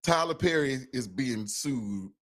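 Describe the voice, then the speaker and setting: A man's voice: mid-range voice, animated, slow, a bit bright. A man co-hosting a podcast in a loose, casual way, often repeating and restarting his phrases. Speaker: podcast co-host.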